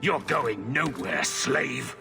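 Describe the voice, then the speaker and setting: An actor playing a menacing, contemptuous slave-hunter captain in a video game, barking like a commander. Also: male voice, mid-range voice, expressive, slow pace, vocal fry, music in the background, exaggerated voice. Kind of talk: video game character acting.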